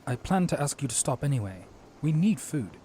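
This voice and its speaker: A man playing a courteous, watchful young knight commander in an audio drama, speaking formally and earnestly in measured phrases. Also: mid-range voice, big pitch swings, mellow, a little hiss or hum, close to an everyday voice. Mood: reassuring.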